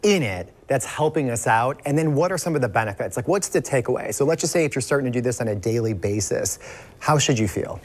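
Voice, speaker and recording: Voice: mid-range voice, expressive, fast. Host losing voice, asking questions. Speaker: TV show host. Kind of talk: TV interview hosting. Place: TV studio.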